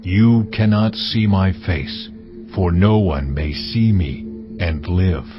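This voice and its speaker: An actor playing God in a dramatized audio Bible, speaking in a slow, measured, commanding way. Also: male voice, sits low. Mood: solemn. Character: God, deep solemn voice of the Lord. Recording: slightly dull audio with music bed.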